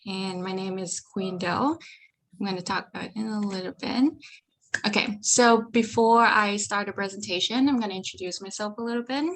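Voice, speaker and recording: A woman's voice: mid-range voice, normal speed, a bit bright. A woman from Vietnam with a Vietnamese accent, a PhD student giving a friendly online presentation over a video call.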